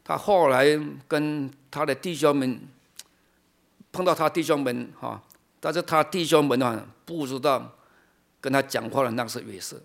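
A middle-aged man from China with a mid-pitched voice, preaching. He explains in short phrases and leaves pauses for an interpreter. Rhetorical questions shape his teaching. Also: creaky, a bit bright.

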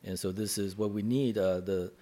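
A man interpreting a sermon phrase by phrase, halting and repeating words as he searches for phrasing. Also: lowish voice, steady tone, mellow.

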